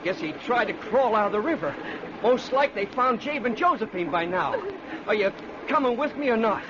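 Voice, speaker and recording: A man's voice: very high-pitched, lively intonation, moderate pace. An actor playing an impatient, disbelieving young country man with scolding rural speech, in vintage radio drama style. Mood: dismissive. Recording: muffled, noisy old radio recording.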